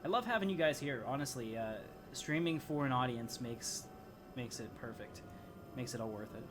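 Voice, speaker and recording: A man's voice: mid-range voice, expressive, moderate pace. A man hosting a game livestream, chatting casually to viewers and rambling as he thinks aloud while playing. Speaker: livestream gamer host. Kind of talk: casual live gameplay commentary, chatting to viewers. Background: game music.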